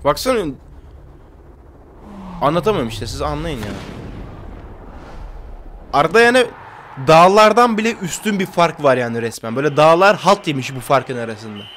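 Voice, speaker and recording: A man's voice: fairly high pitch, very animated, normal speed, long pauses, a bit bright, a little hiss or hum. A man in his twenties giving casual, slangy, jokey reaction commentary as a video host. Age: 20s. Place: small indoor room with brick-patterned wall.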